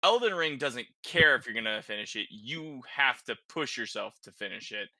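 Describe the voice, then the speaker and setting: A man's voice: medium pitch, animated, moderate pace, sharp tone, no echo. A man hosting a podcast, chatting casually about video games in an informal way, as if thinking aloud. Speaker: podcast host.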